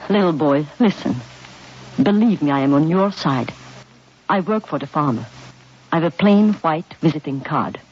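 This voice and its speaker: An actress in a 1950s radio drama playing a poised, persuasive baroness spy who talks in a coaxing, theatrical manner. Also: female voice, deep voice, lively intonation, moderate pace, long pauses, darkish tone, slight background noise. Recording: dull old radio recording with faint music.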